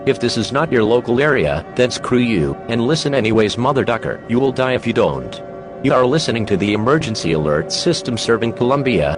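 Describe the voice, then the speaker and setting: A man with a mid-pitched voice reads a scripted emergency alert in a steady, formal announcing manner. Music plays clearly behind his voice. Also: fairly quick.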